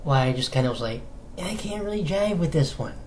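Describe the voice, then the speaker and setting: An American man giving casual fan news commentary as a solo video host, in a halting, conversational manner with rhetorical questions. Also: on the high side, animated. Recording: noticeable background noise.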